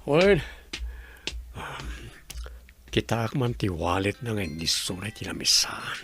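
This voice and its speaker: A man's voice: on the low side, sing-song, slow pace, creaky, a bit bright, heavily acted. A man acting in an audio drama as a nervous, deferential fellow who takes orders. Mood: furtive, casual.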